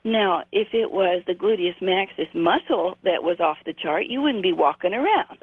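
A woman's voice: on the low side, animated, moderate pace, slightly rough, dry recording. A woman in her sixties, a radio guest, explaining things patiently with frequent hesitations over a phone line. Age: 60s.

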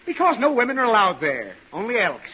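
A man's voice: high voice, huge pitch swings, a little fast. An actor playing an exasperated, short-tempered, suffering father in old radio comedy, groaning and sputtering. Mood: irritated, pleading. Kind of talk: 1940s radio comedy acting. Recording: muffled, noisy old radio show with audience.

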